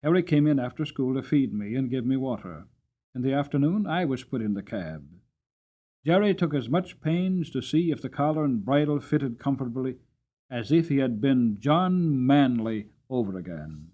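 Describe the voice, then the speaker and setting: A man narrates a storybook with theatrical expression. His pitch swings widely from phrase to phrase, and the recording is a little dull and lo-fi. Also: animated, moderate pace, warm tone. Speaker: audiobook reader.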